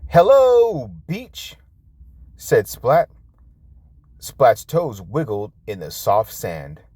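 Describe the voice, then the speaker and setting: A man reads a picture book aloud for young children. His voice sits fairly low and moves in an animated way, with a very slow, drawn-out pace. The delivery is expressive and clearly aimed at storytime. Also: no echo. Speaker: storybook reader, host.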